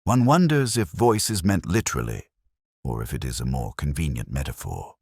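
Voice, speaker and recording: A man acts out a shrewd police inspector in a mystery audiobook. The voice is extremely low and husky, with formal, deliberate phrasing and lively rises and falls. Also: dry recording, studio quality, exaggerated voice. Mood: musing.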